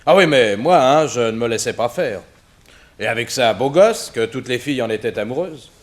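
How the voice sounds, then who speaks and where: An actor playing a spiteful, gossipy country neighbour in a 1960s French radio drama. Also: male voice, medium pitch, expressive, normal speed, close to an everyday voice. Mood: scornful.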